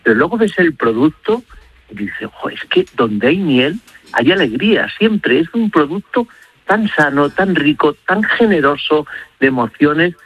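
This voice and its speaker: A man's voice: on the high side, very animated, a little slow, slightly rough, dry recording, dull audio. A middle-aged man from Spain with a Castilian accent, talking effusively and conversationally on the radio, rambling and repeating words for emphasis. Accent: Castilian Spanish. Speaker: radio travel and food contributor. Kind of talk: enthusiastic conversational radio talk.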